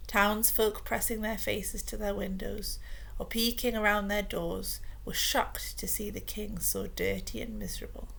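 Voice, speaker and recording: A woman reading a children's story aloud, expressive and often dropping to a hushed whisper. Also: medium pitch, vocal fry. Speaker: storybook reader.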